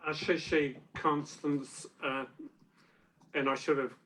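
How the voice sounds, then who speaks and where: Male hearing chair in a council chamber, slow and polite, distant mic pickup. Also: on the high side, flat delivery, a bit bright, a little hiss or hum. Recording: distant from the microphone.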